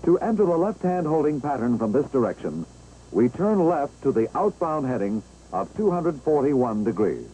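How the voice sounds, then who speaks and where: Mellow American training-film narrator, lively pitch. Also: male voice, rough recording. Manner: measured, clear step-by-step explaining. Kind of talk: 1960s instructional film narration. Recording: old 1960s film soundtrack, engine-like background noise.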